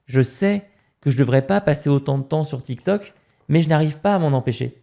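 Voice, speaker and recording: A man's voice: fairly high pitch, animated, brisk, vocal fry, mellow. A man from France, a podcast guest, talking emphatically in casual conversation and repeating words as he starts a point.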